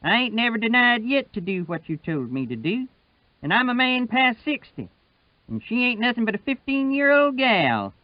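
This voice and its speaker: High-pitched old Southern rustic. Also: male voice, very animated, no echo, lo-fi sound. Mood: dogged, quiet protest. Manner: rustic dialect speech, patient and slow.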